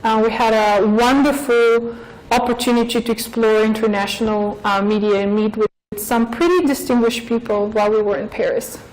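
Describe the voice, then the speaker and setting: A woman in her forties from Bulgaria with a Bulgarian accent, giving a thoughtful interview as a professor, with frequent pauses and fillers. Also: a little high, steady tone, darkish tone, poor audio. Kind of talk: interview answers.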